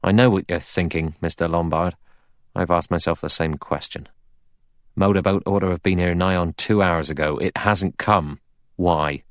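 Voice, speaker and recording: A man's voice: low-pitched, steady tone, moderate pace, no echo, studio quality, close to an everyday voice. A man voicing a blunt, aggressive, suspicious detective in an audiobook, arguing in pushy, colloquial bursts. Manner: pushy, colloquial, emphatic argument. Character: blunt, aggressive middle-aged male detective, suspicious and cocksure.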